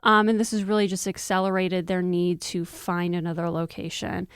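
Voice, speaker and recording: A woman's voice: lowish voice, level tone, moderate pace, clear, resonant tone, dry recording, studio quality. A woman reporter explaining things step by step in an informal, conversational news discussion. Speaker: news reporter, interview guest.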